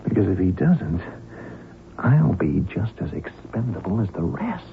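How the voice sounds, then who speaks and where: An actor playing a wary, hard-headed pilot in an old radio drama, terse and sardonic. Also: male voice, lowish voice, big pitch swings, a little slow, over loud music, full character voice. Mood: grim, confiding. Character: wary, hard-headed airline captain held by hijackers. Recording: tinny band-limited old radio recording, clear noise.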